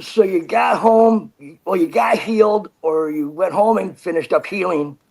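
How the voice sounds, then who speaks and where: A man hosts a podcast in a rather high voice. He talks bluntly and casually, with slang and quick laughs, steering the conversation with questions. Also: vocal fry, a little mellow, poor audio. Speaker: podcast host.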